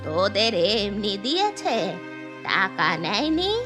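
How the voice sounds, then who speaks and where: A woman's voice: mid-range voice, sing-song, takes it slowly, over music. A woman voicing a nosy older village woman in a cartoon, with an inquisitive, probing way of talking. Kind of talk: cartoon story dialogue.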